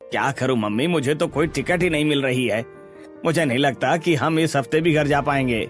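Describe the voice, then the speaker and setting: A man's voice: a little high, moderate pace, soft background music, close to an everyday voice. A man voicing a casual adult son, a freeloading visitor in a cartoon story, speaking plainly. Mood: casual complaint.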